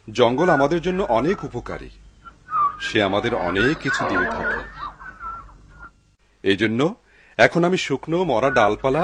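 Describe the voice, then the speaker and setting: Humble woodcutter, very animated, noisy background. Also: male voice, medium pitch. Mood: cheerful. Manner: expressive, musing aloud to himself. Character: humble, devout, cheerful woodcutter man, kind and cautious. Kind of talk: dubbed cartoon voice acting. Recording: clear background noise behind the voice.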